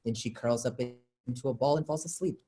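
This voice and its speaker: A woman's voice: a bass voice, brisk, dark tone. A woman reading scripted stage directions as narration for a play.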